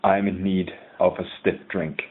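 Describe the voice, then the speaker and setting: A man playing a haunted, dread-stricken scholar who gravely and formally confesses to an audio journal in a horror drama. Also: low-pitched, steady tone, moderate pace, a little hiss or hum, natural voice. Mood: weary, fearful. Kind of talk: scripted horror audio drama monologue, literary diction. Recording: tinny phone-like audio log. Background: music.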